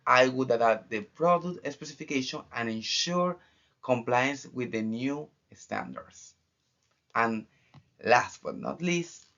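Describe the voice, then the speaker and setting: A young man from Argentina with an Argentine accent, a student giving a formal final project presentation in measured phrases with pauses. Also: mid-range voice, creaky, a bit bright. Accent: Argentine Spanish. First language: Spanish. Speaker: international trade student. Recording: dull lo-fi recording.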